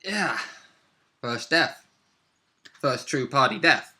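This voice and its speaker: A man's voice: medium pitch, expressive, takes it slowly, pushed and bright. A man casually recapping a tabletop role-playing session as one of the players.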